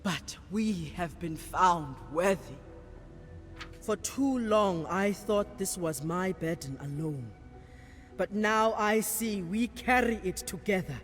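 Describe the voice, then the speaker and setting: Solemn, devout sea-goddess priestess for a fantasy game; unhurried, ceremonial delivery in a reverberant hall. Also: female voice, lowish voice. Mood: resolute. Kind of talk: dramatic game cutscene acting. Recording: reverberant hall with faint music behind.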